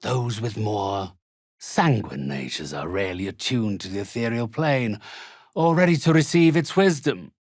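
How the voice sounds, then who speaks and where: An actor playing a haughty, theatrical undead necromancer in a video game, speaking with pompous, condescending, ornate phrasing. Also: male voice, on the low side, animated, a little slow, dry recording, studio quality. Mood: disdainful. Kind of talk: video game character acting.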